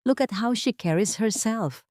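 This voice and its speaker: A woman's voice: on the high side, expressive, unhurried, darkish tone, dry recording, clean studio sound, pitched above the performer's own voice. A woman with a Filipino accent voicing an irritable, arrogant rich man in an audiobook, defensive in tone. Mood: disbelieving, scornful. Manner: defensive, mixes in English.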